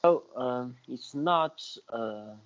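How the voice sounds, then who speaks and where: A man in his twenties giving short, halting interview answers. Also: medium pitch, lively intonation. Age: 20s. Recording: dull lo-fi sound.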